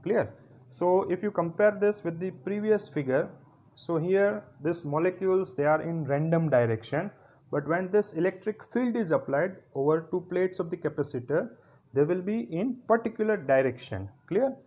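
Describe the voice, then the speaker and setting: A man giving a step-by-step physiotherapy lecture over slides, often pausing to ask if it is clear. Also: high-pitched, lively intonation, a little mellow.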